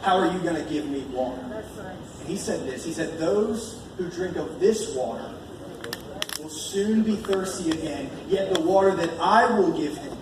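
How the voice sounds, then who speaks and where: A fairly high-voiced American man delivers a colloquial evangelistic sermon, leaning on repeated phrases for emphasis. His voice comes through a heavily echoing room, picked up from a distance. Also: animated, moderate pace. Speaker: preacher. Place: large echoey room at a live church gathering. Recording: echoey room from far off, noisy.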